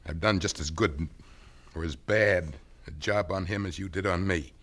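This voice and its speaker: An actor playing a bitter, ashamed murder suspect out on bail in a 1970s radio drama, talking in a halting way. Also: male voice, deep voice, a bit bright.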